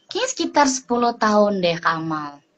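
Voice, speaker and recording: A woman's voice: medium pitch, very animated, normal speed, a little hiss or hum. A woman co-hosting a casual live stream, chatty and reassuring with frequent little affirmations. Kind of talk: casual live chat, encouraging listeners.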